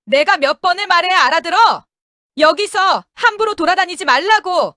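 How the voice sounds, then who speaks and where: A woman playing a bullying daughter-in-law who scolds her maid in a sharp, commanding way, in a scripted audio drama. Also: very high voice, lively intonation, normal speed, dry recording, studio quality, exaggerated voice. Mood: angry, scolding.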